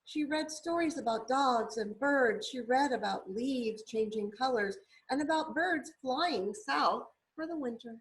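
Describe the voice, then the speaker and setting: A woman reading a children's story aloud expressively, with deliberate, drawn-out emphasis for young listeners. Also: high-pitched, poor audio. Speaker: storybook reader.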